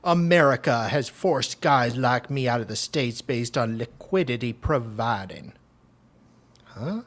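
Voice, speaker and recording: Slow husky co-host on a livestream. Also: medium pitch, lively intonation. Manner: brief agreeing replies, reads posts aloud. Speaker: stream co-host. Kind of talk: casual live-stream chat.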